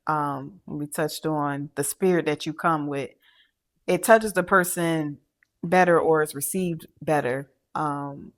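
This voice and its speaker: A middle-aged woman with a notably low voice speaks slowly in casual podcast conversation. She pauses often and restarts her sentences, thinking aloud as she goes. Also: fairly even intonation. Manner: halting, many fillers and restarts. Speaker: podcast co-host. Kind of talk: casual chat.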